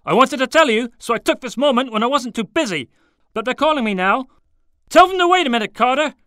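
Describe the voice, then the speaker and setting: Man playing a high, hurried, brusque stockbroker boss. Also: animated, sharp tone, no echo, studio quality, exaggerated voice. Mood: urgent, pleading. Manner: urgent, clipped, impatient. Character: hurried, distracted, brusque male New York stockbroker boss.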